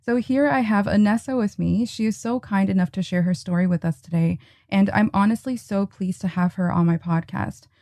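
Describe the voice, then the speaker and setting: A woman hosting a podcast, introducing her guest gently and asking questions. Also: medium pitch, a little fast, hardly any pauses, no room sound, studio quality. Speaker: podcast host. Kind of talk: podcast interview.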